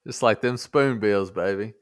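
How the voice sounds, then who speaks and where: A man co-hosting a podcast, chatting casually in a folksy, conversational way. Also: lowish voice. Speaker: podcast co-host. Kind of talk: casual chat.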